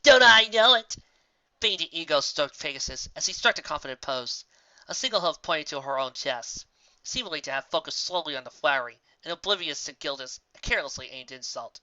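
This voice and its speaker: A woman's voice: deep voice, expressive, fairly quick, sharp tone, no room sound. A woman reading a story as scripted narration, on a dull lo-fi recording. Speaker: audiobook reader of fan fiction.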